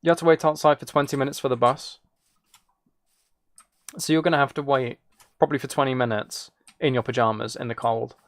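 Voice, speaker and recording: A man with a creaky, mid-pitched voice talks casually through his gameplay. He rambles as he thinks aloud, with a playful, self-mocking streak. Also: steady tone, brisk, pauses often, no room sound.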